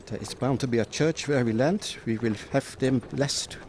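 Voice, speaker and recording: A man's voice: mid-range voice, a little mellow, close to an everyday voice. A man playing a grave, commanding older professor who hunts vampires, speaking formally in an audio drama. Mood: grave, urgent. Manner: formal, instructing, deliberate phrasing. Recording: audible background noise.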